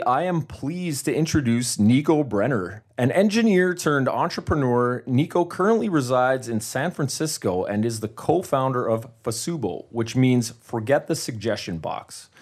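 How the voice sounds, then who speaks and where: A man's voice: mid-range voice, darkish tone. A man hosting a small business podcast, reading a polished intro and asking interview questions. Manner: polished, announcer-like delivery. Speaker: podcast host. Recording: faint music under the voice.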